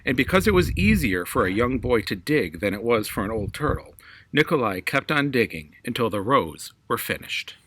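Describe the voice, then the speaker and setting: A man's voice: mid-range voice, sing-song, normal speed, a bit bright, no echo, rough recording. A man reads a children's story aloud as a storyteller, in narrated prose.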